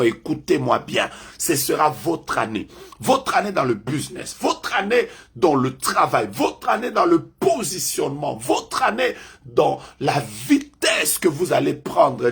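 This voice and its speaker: A French-speaking man leading a live online prayer as a prophet, making emphatic, repetitive declarations that suddenly rise loud. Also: medium pitch, creaky, husky.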